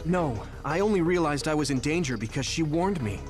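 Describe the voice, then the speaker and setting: An earnest young soldier voiced by a man speaks in a fairly high, very animated voice. He is the quick-to-worry hero of an anime game, with music playing clearly behind him. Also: lightly acted. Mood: earnest, puzzled.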